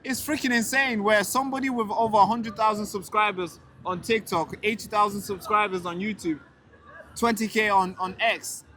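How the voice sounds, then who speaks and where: Indignant high-voiced young man on the street. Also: fairly even intonation, moderate pace, pushed and bright, a little hiss or hum. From Tanzania. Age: young adult. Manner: indignant, emphatic, repeats words when heated. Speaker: street interviewer and online show host. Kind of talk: casual street talk and direct-to-camera rant. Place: outdoors on a city street. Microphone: handheld camera mic.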